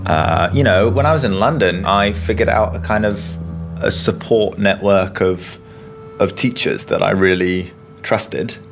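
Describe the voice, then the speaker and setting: Very deep, gravelly young man. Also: over music. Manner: hesitant, halting, many fillers. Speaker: opera studio singer. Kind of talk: interview. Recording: faint background noise.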